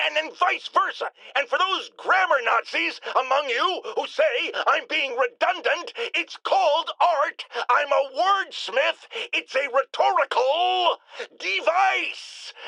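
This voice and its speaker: A man's voice: sits high, no echo, exaggerated voice. An actor playing a blustering, self-righteous middle-aged American man, a pompous talk radio host who delivers theatrical, indignant rants in a video game. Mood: indignant, smug. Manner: pompous, emphatic, theatrical, swinging into outraged shouts. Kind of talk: bombastic talk-radio monologue.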